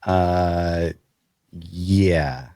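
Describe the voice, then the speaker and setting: Guy doing a grumpy loner fantasy traveler, slow n curt, evasive, really dry sound. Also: low-pitched, dark tone, dry recording, exaggerated voice. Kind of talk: dark fantasy audio drama table read.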